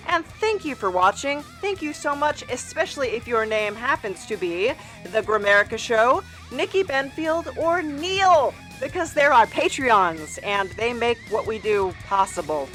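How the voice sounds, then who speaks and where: A woman speaks as a podcast host with a somewhat high voice. Her delivery is casual and playful, and faint music can sit behind her. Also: fairly bright tone. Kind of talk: playful character interview and casual sign-off.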